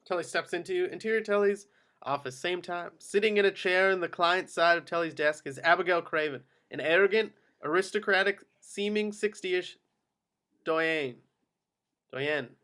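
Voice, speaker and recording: A man's voice: high-pitched, normal speed, sharp tone, clear, resonant tone. A man reading screenplay action descriptions as scripted narration at a table read. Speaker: reader of stage directions.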